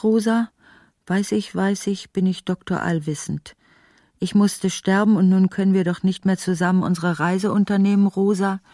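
A grieving woman revolutionary in prison, played by an actress, speaking to her lost lover. Her delivery is intimate and anguished, sometimes slipping into breathy whispers, in a literary radio play with a dry, close sound. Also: mid-range voice, mellow, no echo, natural voice. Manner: intimate, anguished inner monologue. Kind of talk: literary radio drama acting.

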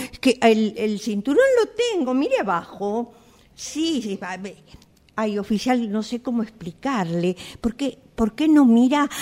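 A woman improvising comic dialogue as a flirtatious, flustered driver, coy and hesitant. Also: a little high. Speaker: radio drama workshop participant.

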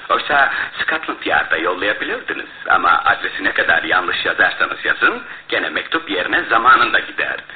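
Confident detective in an old radio drama, voice swings up and down a lot, explains deliberately, dull echoey sound. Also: male voice, sits low, big pitch swings. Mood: accusing, methodical. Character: shrewd, self-assured male detective laying out his case. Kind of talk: radio theatre acting. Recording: muffled, roomy radio drama recording.

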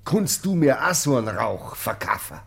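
Scornful, animated Bavarian crusading official. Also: male voice, mid-range voice, normal speed, gravelly, husky, heavily acted. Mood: sly, folksy. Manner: indignant, sneering, emphatic. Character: scornful, crusading official veterinarian, sly and self-righteous. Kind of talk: German radio play acting.

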